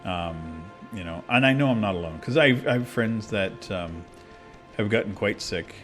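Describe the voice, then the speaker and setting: A man with a fairly low voice talks while playing a game, rambling openly and circling back through fillers and false starts. Soft game music sits faintly under him. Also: expressive, normal speed. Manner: rambling and candid, many fillers and restarts. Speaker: gaming video creator. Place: abroad, recording at a computer.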